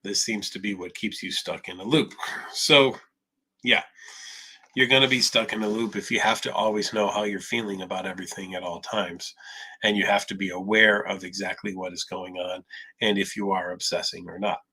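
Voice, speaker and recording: A man's voice: sits low, steady tone, normal speed, pushed and bright, breathy edge, rough recording. A man, a psychologist, answering viewer questions in a live Q&A, explaining patiently with a touch of sarcasm, a little stuffed up. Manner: explanatory, mildly sarcastic when acting out obsessive thinking. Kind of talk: live Q&A talk.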